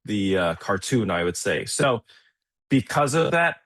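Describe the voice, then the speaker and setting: A man's voice: on the low side, big pitch swings, measured pace, a bit bright, no echo, studio quality. A man co-hosting a movie podcast, talking casually and thinking aloud in slangy, conversational phrases. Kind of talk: casual chat, film discussion.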